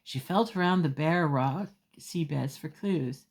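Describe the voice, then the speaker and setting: Female picture-book reader, lower register, leisurely and expressive, speaking simply and emphatically to small children. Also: a little slow, husky. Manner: simple, emphatic phrasing with small asides to young listeners. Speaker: children's storyteller.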